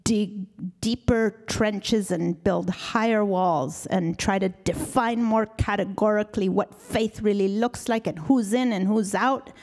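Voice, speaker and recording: Middle-aged woman, mellow tone, unhurried pace, earnest, reflective lecture delivery, handheld stage mic. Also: mid-range voice. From Argentina. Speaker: guest lecturer. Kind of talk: reflective public lecture answer. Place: auditorium stage. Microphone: handheld microphone.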